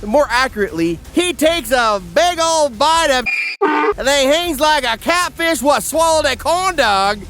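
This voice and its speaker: A man's voice: extremely high pitch, lively intonation, measured pace, fairly bright tone. A man narrating a scripted comedic recap in a theatrical, crude, sardonic way. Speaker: narrator and host. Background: background music.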